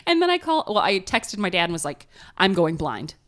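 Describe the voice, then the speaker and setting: Nasal woman on a podcast, kinda low voice, just chatting and laughing through her story. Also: big pitch swings, a little fast, a bit bright. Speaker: podcast co-host. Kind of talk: casual chat.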